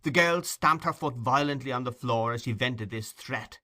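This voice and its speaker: A man's voice: medium pitch, normal speed, bright, forceful tone. A man reading a classic novel aloud as an audiobook narrator, in dramatic scripted storytelling.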